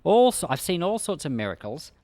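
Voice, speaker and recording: A man's voice: on the high side, sing-song, fairly quick, dark tone, no room sound. A man speaking as a pastor, reading and explaining scripture in a plain-spoken, explanatory way. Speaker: pastor and Bible podcast host. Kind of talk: scripture reading followed by informal devotional commentary.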